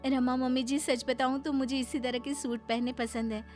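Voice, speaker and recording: Polite, high-voiced young daughter-in-law, acted by a woman. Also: level tone, moderate pace, over loud music, lightly acted. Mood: placating. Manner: deferential, apologetic, pleading. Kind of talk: Hindi animated moral story acting.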